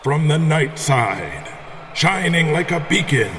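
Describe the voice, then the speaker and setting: A man's voice: a little high, sing-song, noisy background. A man playing a flamboyant, bombastic ring announcer in an audio drama, drawing out his words like a showman. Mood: showy, hyped. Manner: bombastic, drawn-out showman delivery. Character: flamboyant male arena ring announcer at a deathmatch.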